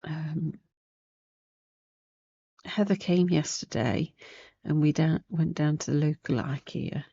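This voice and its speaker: A woman's voice: low-pitched, slow pace, gravelly, warm tone, husky, dry recording, highs cut off. A woman with a sniffly cold, chatting casually as she demonstrates a sewing technique, rambling and losing her thread at times. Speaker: crafting channel host. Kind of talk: casual sewing tutorial chat.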